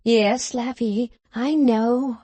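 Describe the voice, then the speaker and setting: A woman voicing a spirited, affectionate young woman who speaks briskly and earnestly. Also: a little high, fairly even intonation, dark tone, husky, no echo, full character voice. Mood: lively earnest.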